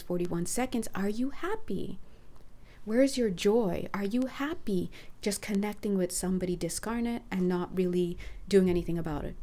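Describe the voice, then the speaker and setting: A hoarse woman giving a rambling, earnest spiritual talk, putting direct questions to her listeners. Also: mid-range voice, mellow. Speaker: spiritual reader. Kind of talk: casual spiritual talk to camera.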